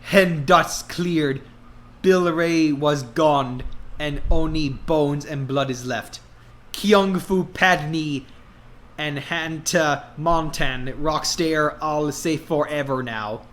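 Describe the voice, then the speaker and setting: A man reading a story aloud in a mock-dramatic style, deliberately and with a straight face. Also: fairly high pitch, fairly bright tone. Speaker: dramatic reader of fan fiction.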